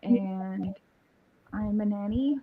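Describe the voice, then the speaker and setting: Woman, 20s, from New York, quiet, very slow, soft-spoken, muffled distant audio. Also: medium pitch. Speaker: panel guest.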